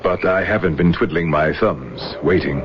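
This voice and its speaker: A very low-pitched, resentful and scheming general played by a man in a vintage radio play. He speaks with proud, commanding self-assurance. The broadcast is dull and clearly noisy. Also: moderate pace, natural voice. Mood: scheming, businesslike. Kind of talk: old-time radio drama acting.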